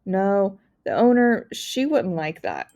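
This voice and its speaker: A woman voicing a shy, hesitant male pet shop clerk in a storybook, mumbling and slow to answer. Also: mid-range voice, wildly swooping pitch, darkish tone, dull audio. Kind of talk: storybook read-aloud character voice.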